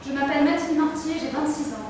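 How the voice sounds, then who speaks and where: A woman in her twenties, a drama student, giving brisk, crisp introductions on stage. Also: sits high, fairly even intonation. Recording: echoey hall, distant mic, noisy.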